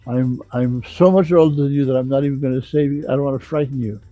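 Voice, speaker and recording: A man in his eighties talking haltingly on an online call, often repeating the start of his phrases. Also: medium pitch, fairly even intonation, mellow, highs cut off, soft background music. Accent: non-American English accent. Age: 80s.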